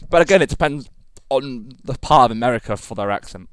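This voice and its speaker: A man's voice: medium pitch, expressive, fairly quick, clean tone, no room sound. A man born in Britain, rambling through a casual podcast chat with lots of fillers and swearing. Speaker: podcast co-host.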